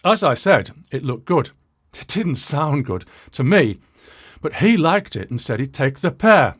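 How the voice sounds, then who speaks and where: A British man in his sixties telling a story to viewers as a presenter, in a wry, self-deprecating way with dramatic pauses and asides. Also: on the high side, big pitch swings. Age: 60s. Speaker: presenter of an audio channel.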